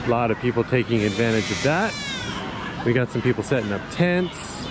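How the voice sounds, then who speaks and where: A man's voice: medium pitch, expressive, a little fast. A man giving a casual walking tour as a vlogger, chatty and laid-back but enthusiastic, talking into a handheld action camera outdoors. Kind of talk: casual chatty walk-and-talk narration. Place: outdoors in a busy city park. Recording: outdoor action camera with clear city noise.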